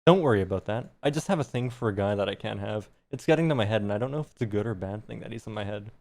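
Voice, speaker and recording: A man's voice: fairly low pitch, very fast, a little mellow. Male streamer reading fan fiction aloud.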